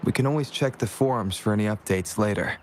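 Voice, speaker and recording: A calm young male adventurer from a video game, acted by a man, with a fairly low voice. He sounds wry, and faint insect noise sits behind him. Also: quick pace, natural voice.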